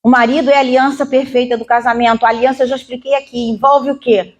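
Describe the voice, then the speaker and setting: A woman's voice: sits high, level tone, fast talker. A woman with a Brazilian Portuguese accent preaching an informal Bible lesson in an emphatic, didactic way, often repeating her phrases. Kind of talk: sermon, informal Bible teaching.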